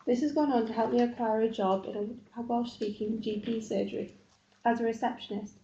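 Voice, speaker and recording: A woman's voice: on the high side, vocal fry, dark tone, echoey room, highs cut off, a little hiss or hum. A young woman student reading a prepared account of her lessons, earnest, with ums. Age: young adult.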